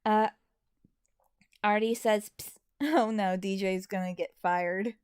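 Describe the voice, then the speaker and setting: A woman's voice: medium pitch, fairly even intonation, slow pace, darkish tone, clean tone. A woman co-hosting a casual livestream and reading viewer messages in a self-deprecating, hesitant way. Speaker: livestream co-host and hobby voice actor.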